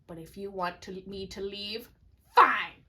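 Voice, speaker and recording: A woman's voice: mid-range voice, a little slow, pushed and bright. A woman playing a nervous, defensive, stammering royal adviser, a man, in a comic amateur puppet show. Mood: meek, wounded.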